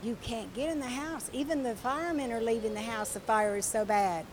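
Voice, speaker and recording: A woman voicing a firm police officer who refuses entry, speaking in her own raspy voice. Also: a little high, brisk, a little hiss or hum, lightly acted.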